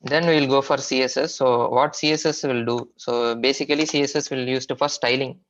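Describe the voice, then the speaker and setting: A man with an Indian accent giving an informal technical lecture as a software trainer, explaining haltingly with repetition and frequent hesitations. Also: mid-range voice, fairly even intonation. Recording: dull, lo-fi online training audio.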